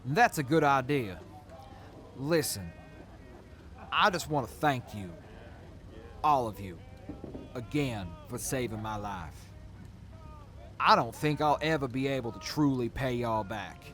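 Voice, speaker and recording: An actor playing a sincere, gentlemanly military major in an audio drama, earnest and grateful. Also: male voice, mid-range voice, lively intonation, takes it slowly, long pauses, faint music behind. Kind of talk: post-apocalyptic audio drama acting.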